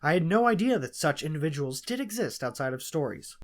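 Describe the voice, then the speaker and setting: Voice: medium pitch, sing-song, fairly quick, lightly acted. A narrator voicing a sceptical former army doctor in an audiobook, asking politely but doubtfully. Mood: curious. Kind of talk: audiobook dialogue in classic detective story.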